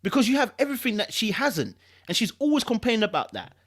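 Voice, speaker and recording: A man acts out a controlling husband in a dramatic story reading. He stammers with false reluctance, then presses his point insistently, with a lively, swinging pitch. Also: fast talker, heavily acted. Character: controlling, insinuating young husband feigning hesitant concern. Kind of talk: dramatic audiobook-style reading.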